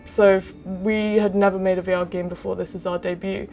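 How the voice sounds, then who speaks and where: A young adult woman, a game director, explaining her work in an interview with many pauses of "um". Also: mid-range voice, gravelly. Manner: even, explanatory, with frequent "um". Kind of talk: interview answers.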